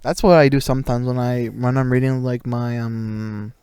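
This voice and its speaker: Young male co-host, goofy joker, medium pitch, dry close mic. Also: fairly even intonation, moderate pace, dark tone, smooth, dry recording. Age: young adult. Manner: joking, goofy, playful banter. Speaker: podcast co-host. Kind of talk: casual podcast chat.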